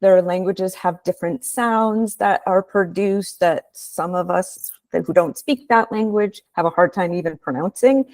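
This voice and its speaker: A woman researcher giving a measured, explanatory webinar presentation over a video call. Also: on the low side, a little mellow, no echo.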